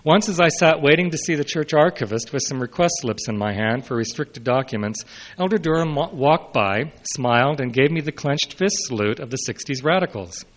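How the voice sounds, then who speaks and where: A mature man reads a prepared personal speech aloud in a measured way, with brief asides, as a historian addressing listeners. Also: medium pitch, expressive. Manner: measured, announces quote and unquote, brief unscripted asides. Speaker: historian giving a talk.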